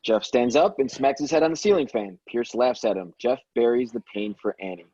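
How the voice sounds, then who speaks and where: A man's voice: medium pitch, a little fast, mellow, breathy edge, no echo. A man reading stage directions as the narrator of a scripted table read. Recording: dull, slightly lo-fi sound.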